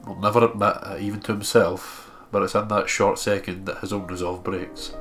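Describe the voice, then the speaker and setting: A man with a low voice, congested and nasal, narrates on a visual novel let's play. Light music plays behind him. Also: a bit bright. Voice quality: nasal, blocked nose.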